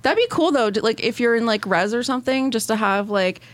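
A woman's voice: fairly high pitch, lively intonation, fairly quick, no room sound. A young woman from Northern Ontario co-hosting a podcast, chatting eagerly and laughing often. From Northern Ontario, Canada. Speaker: podcast co-host. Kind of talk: casual chat.

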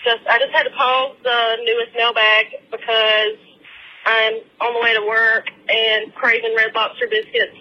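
A woman's voice: a little high, flat delivery, unhurried, dull audio. A woman leaving a casual voicemail with lots of ums, joking about herself. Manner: casual, filler-heavy, self-deprecating. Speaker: voicemail caller. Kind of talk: phone voicemail message. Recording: phone voicemail line.

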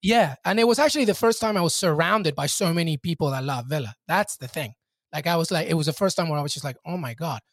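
A man's voice: on the high side, expressive, quick pace, dry recording, studio quality. A man from Peru, a guest on a podcast, talking reflectively in casual chat with many fillers and restarts.

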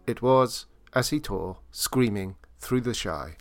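A man's voice: lowish voice, animated, takes it slowly. A man reading a scripted comic murder mystery as narrator, in a droll, theatrical way. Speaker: story narrator and announcer. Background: music.

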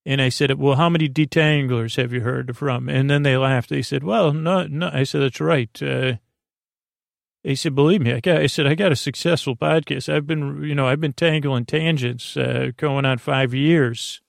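A man hosting a bedtime podcast in a creaky voice, meandering and goofy, with hesitant restarts and tangled tangents. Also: mid-range voice, steady tone, moderate pace, no room sound, studio quality. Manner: goofy, silly. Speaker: podcast host. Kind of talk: rambling bedtime story podcast monologue.

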